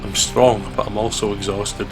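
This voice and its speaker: A man's voice: lowish voice, flat delivery, moderate pace, over music. A man hosting a let's play, reading visual novel narration aloud dramatically.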